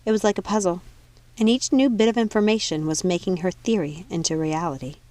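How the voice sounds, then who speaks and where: A woman's voice: mid-range voice, brisk, darkish tone. A woman reading an audiobook as narrator, in a dramatic scripted storytelling style. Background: music.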